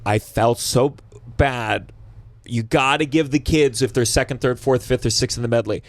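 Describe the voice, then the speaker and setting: A man's voice: mid-range voice, moderate pace, dry recording. A man hosting a podcast, chatting casually as a comedian and telling stories with swearing. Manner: animated, swearing storyteller. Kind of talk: casual chat.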